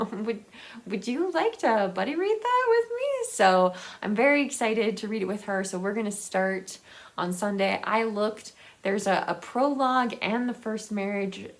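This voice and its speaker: Female book vlogger, unhurried and rambling, enthusiastic, with noticeable vocal fry. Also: mid-range voice, big pitch swings. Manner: chatty, rambling, often giggly; enthusiastic about books. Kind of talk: casual unscripted book chat.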